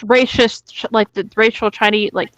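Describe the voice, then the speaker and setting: A woman's voice: a little high, steady tone, moderate pace, a bit bright, lo-fi sound. A woman chatting casually and emphatically, giving her opinions in an informal way and swearing freely.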